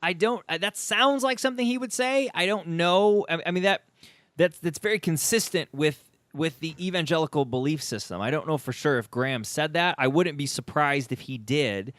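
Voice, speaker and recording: A man's voice: on the high side, normal speed, a bit bright, dry recording. A man from the United States hosting a conversational interview, speaking in an opinionated, emphatic way. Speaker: podcast host.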